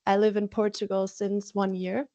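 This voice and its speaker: A woman with a German accent presents on a video call. She sounds friendly and upbeat, and she pauses on small fillers as she speaks English as her second language. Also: mid-range voice, flat delivery, mellow, lo-fi sound. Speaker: webinar presenter, stress coach. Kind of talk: webinar self-introduction. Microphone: video call from home.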